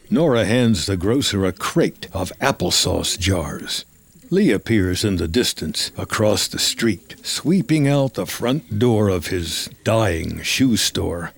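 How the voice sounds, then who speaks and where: A man narrating a film script in the present tense with measured, descriptive delivery over ambient sound. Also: on the low side, expressive.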